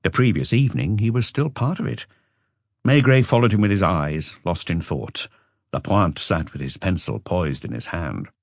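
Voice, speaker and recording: A man's voice: low-pitched, lively intonation, moderate pace, gravelly, no room sound, studio quality. A man reading a mystery novel as a scripted audiobook narration.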